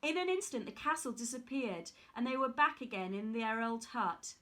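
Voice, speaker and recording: A woman reading a fairy tale aloud in a careful, steady way with small slips. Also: a little high, normal speed. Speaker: reader.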